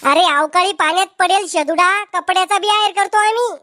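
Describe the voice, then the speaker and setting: Squeaky, brash village cartoon squabbler. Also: male voice, a little fast, bright, forceful tone. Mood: scolding. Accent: Varhadi Marathi. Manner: brash, sarcastic, bickering. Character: cheeky, quarrelsome village cartoon youngster and scolding aunt.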